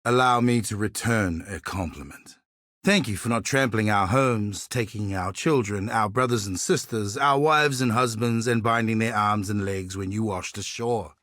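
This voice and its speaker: A man's voice: lowish voice, moderate pace, a bit bright, no echo, clean studio sound, lightly acted. An actor voicing a proud, earnest and wry islander man who seeks justice for his enslaved people, in scripted video game dialogue. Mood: bitterly sarcastic.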